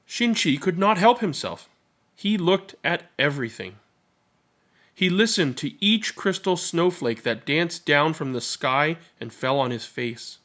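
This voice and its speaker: A man's voice: a little high, lively intonation, a little slow, fairly bright tone. Man reading aloud. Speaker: storybook reader and channel host. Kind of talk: children's read-aloud narration with casual commentary.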